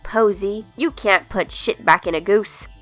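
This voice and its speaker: A woman's voice: mid-range voice, unhurried, fairly bright tone, no room sound, faint music behind, natural voice. A woman playing a ruthless, ambitious rebel leader in a comic dub, commanding and cynical. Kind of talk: comic-book dub acting.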